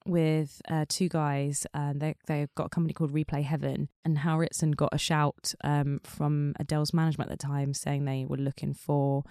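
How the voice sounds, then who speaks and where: A woman's voice: low voice, mellow, clean tone, no echo, clean studio sound. A woman singer chatting as an interview guest, talking loosely with many fillers. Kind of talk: casual interview chat.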